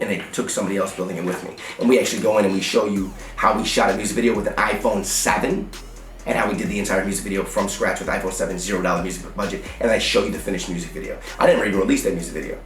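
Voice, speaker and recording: A man's voice: fairly low pitch, recorded from a distance. An American man giving a blunt, emphatic motivational how-to talk as a music business coach, with slangy, repetitive hustler phrasing. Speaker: music business coach and online course seller. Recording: roomy echo, slightly off the mic.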